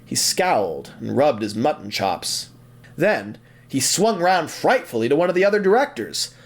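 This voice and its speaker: A man's voice: fairly high pitch, big pitch swings, normal speed. A man narrating an audio drama as a scripted story, with theatrical, suspenseful phrasing.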